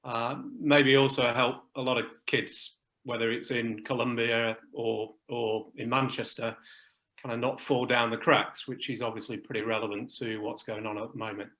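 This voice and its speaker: A middle-aged man on a video call, talking in a loose, self-deprecating manner. His pitch barely rises or falls and sits at an ordinary middle height. It sounds like relaxed conversation rather than a prepared speech. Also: normal speed, low-quality recording. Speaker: charity chair and guest. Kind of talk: casual video chat.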